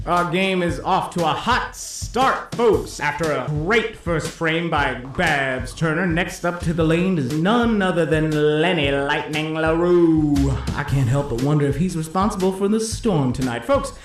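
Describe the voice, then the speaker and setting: A slick 1950s disc jockey, acted out by a man in a slightly high voice, calling bowling play-by-play over music in an echoing room. He is cheeky and theatrical, rolling out hepcat patter and stretching words for show. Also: natural voice. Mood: showy, teasing. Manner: showy hepcat patter with drawn-out flourishes. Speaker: play-by-play announcer. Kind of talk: 1950s radio-style sports commentary. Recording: roomy echo, music underneath.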